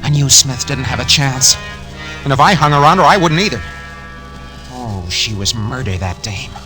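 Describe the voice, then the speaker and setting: A man's voice: medium pitch, expressive, normal speed, lightly acted, poor audio. An actor playing a guilty, nervous schemer who narrates his own crime in hardboiled slang, in 1940s radio drama style. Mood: tense, confiding. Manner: hardboiled slangy first-person storytelling. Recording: vintage 1940s radio recording, music behind.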